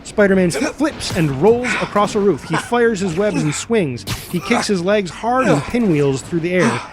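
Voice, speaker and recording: A man reading stage directions as dramatic, energetic narration for a superhero screenplay, punching out the action. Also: sits high, measured pace, noisy background. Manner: energetic, punchy sound-effect words.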